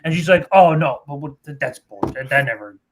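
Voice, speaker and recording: A man's voice: on the high side, lively intonation, background noise. A man in casual political chat, restarting often and peppering his sentences with "like". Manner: repetitive, halting, heavy use of "like". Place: online group call.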